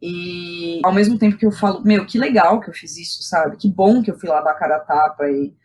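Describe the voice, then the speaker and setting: A woman's voice: fairly low pitch, a little fast, darkish tone, a little hiss or hum. Brazilian woman talking candidly, casual and informal. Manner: candid, informal, with frequent slang and tag questions. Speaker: guest, playwright and director. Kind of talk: casual personal storytelling in a podcast interview.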